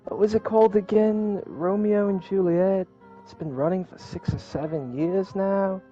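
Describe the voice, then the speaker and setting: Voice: medium pitch, fairly even intonation, normal speed, muffled recording, soft background music, voice pushed up, natural voice. A player voicing a haughty, condescending young rich man who scorns commoners in a game recap. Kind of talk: improvised voice acting over a game.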